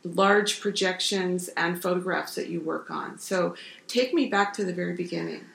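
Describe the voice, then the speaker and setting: A woman's voice: fairly low pitch, moderate pace, vocal fry, a bit bright, reverberant, low-quality recording. A woman hosting a radio interview, asking loose, casual questions with fillers.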